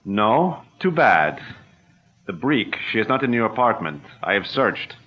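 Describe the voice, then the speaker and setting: Menacing low-voiced villain, old-time radio drama, muffled audio. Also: male voice, sing-song, a little slow, a little hiss or hum. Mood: taunting. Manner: smooth, taunting, broken English. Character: menacing male munitions racketeer villain. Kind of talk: 1940s radio acting. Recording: dull lo-fi old-radio sound.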